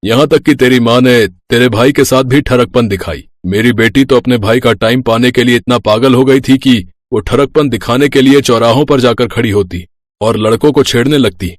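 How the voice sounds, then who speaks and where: Male actor as apologetic middle-aged father-in-law. Cartoon family drama, earnest explaining, medium pitch, dry recording. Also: moderate pace, dry recording, natural voice. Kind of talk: Hindi cartoon story voice acting.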